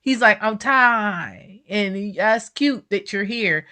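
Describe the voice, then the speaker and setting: A woman co-hosting a podcast in casual chat, playful and animated, acting out what others say. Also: medium pitch, slow pace, crowd noise. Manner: animated, playful, acts out quotes. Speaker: podcast co-host.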